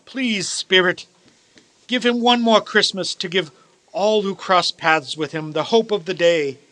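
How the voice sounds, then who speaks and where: Radio play lead: old miser turned earnest, male actor, high bright voice, grandly emphatic. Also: unhurried. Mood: desperate, pleading. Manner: dramatic, emphatic, formal old-fashioned phrasing. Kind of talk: theatrical radio play acting.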